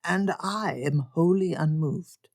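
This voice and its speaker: Woman reading a weary, deadpan father. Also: on the low side, slow, no room sound, clean studio sound. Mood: dry weary. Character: weary dry-witted father of five daughters.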